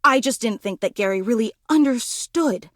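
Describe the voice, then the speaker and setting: Woman acting as adoring video game superfan, high voice, very animated swings, effusive, self-deprecating, dramatic. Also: normal speed, no room sound, clean studio sound, lightly acted. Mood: earnest, defensive. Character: gushing, anxious, adoring superfan, an animate household object.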